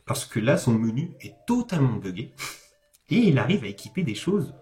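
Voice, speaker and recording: A man's voice: medium pitch, big pitch swings, moderate pace, a little mellow. A man giving a casual, explanatory speedrun commentary, hesitating and correcting himself with informal asides. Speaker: video game commentator, host. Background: background music.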